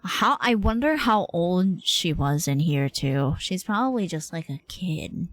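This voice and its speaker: Low-voiced, irreverent woman game streamer. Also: animated, measured pace, dry recording. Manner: irreverent, sweary, playful. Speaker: game livestreamer. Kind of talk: casual reaction commentary, slangy.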